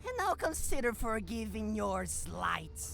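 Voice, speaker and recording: A spoiled, bullying young nobleman voiced by a woman in a manga dub. The voice is heavily creaky, bright and forceful, drawn out with slow, pompous indignation. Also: mid-range voice, very animated, faint music behind, heavily acted. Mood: haughty, threatening. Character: spoiled, arrogant young lordling, haughty male bully.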